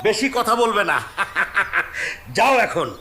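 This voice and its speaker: A man's voice: sits high, expressive, slow, music in the background. A man playing a bullying, corrupt police officer with a curt, commanding way of talking, in a dramatised story. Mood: stern, bullying. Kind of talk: dramatised moral story acting. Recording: noisy track with vehicle ambience.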